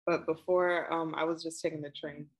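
A woman's voice: low-pitched, moderate pace. Young Brooklyn woman on a video call. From Brooklyn, New York. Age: 20s. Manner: relaxed, with frequent ums and likes. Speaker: interviewee. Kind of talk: casual oral history interview.

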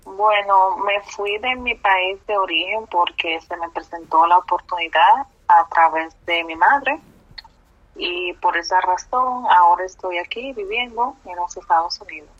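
Slow, low-voiced woman, muffled. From Dominican Republic. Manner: slow, hesitant answers with fillers. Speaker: interviewee. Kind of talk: oral history interview. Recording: muffled, dull lo-fi audio.

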